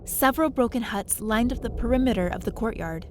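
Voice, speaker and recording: A woman's voice: fairly high pitch, brisk, darkish tone, slight background noise, natural voice. A woman playing a curious, sheepish young adventurer who narrates her own story in an audio drama. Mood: reflective. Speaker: lead character and narrator. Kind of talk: first-person scripted story narration with dialogue.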